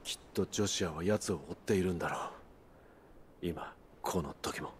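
An actor playing a brooding, terse mercenary hero in a Japanese video game, serious and restrained. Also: male voice, normal speed, a little hiss or hum, natural voice. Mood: somber resolve. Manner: low-key, serious, restrained.

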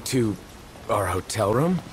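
Lowish-voiced laconic young prince. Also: male voice, big pitch swings, slow pace, slight background noise. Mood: wry. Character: laid-back, laconic young prince in fantasy game.

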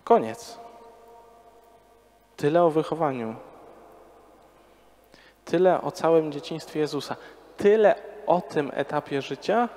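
A man's voice: fairly high pitch, expressive, slow pace, long pauses, darkish tone. A man in his thirties, a priest, preaching a parish sermon to a congregation in a conversational way, with rhetorical questions, on a handheld microphone. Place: large church before a congregation. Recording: long church reverb.

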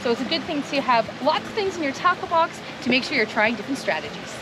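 A woman's voice: high voice, lively intonation, over music, low-quality recording. A middle-aged woman with a Canadian accent presenting fishing tips in casual, unscripted, explanatory chat outdoors. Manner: conversational, explanatory. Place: outdoors on a wooded creek bank. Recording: outdoor recording with clear rushing stream noise.

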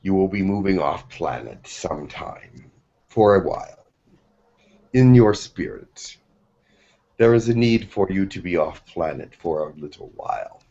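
Slow, solemn man channeling. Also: sits low, lively intonation, gravelly, warm tone, lo-fi sound. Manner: measured, formal pronouncements.

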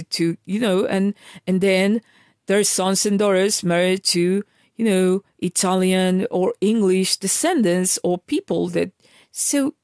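A woman's voice: medium pitch, darkish tone, no echo, studio quality. A woman from Argentina, talking in a casual, emphatic vlog rant, lecturing her viewers with many restarts and fillers. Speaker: vlogger. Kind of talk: casual ranting monologue.

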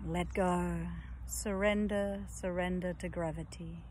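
A woman yoga teacher guiding a relaxation session in a slow, soft, soothing voice with long pauses, at times breathy and close to a whisper. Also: fairly low pitch, fairly even intonation, slight background noise. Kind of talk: guided yoga nidra relaxation.

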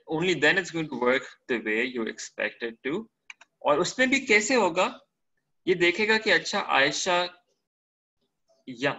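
A man's voice: fairly high pitch, sharp tone. A man teaching a spreadsheet lesson over a screen recording, switching between Hindi-Urdu and English, checking in often with short questions. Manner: mixes Hindi-Urdu and English, explains step by step.

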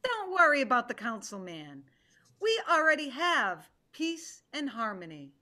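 A woman's voice: sits high, sing-song, a little slow, pushed and bright, full character voice. A woman voicing a stern, wrathful tigress in a play reading, with a commanding, declarative manner. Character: stern, wrathful female tiger who leads the council. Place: home room, video call.

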